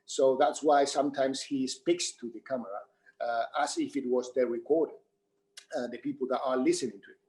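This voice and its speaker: Spanish-accented man, halting and repetitive. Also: medium pitch, darkish tone, poor audio. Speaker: theatre director. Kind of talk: unscripted panel Q&A talk.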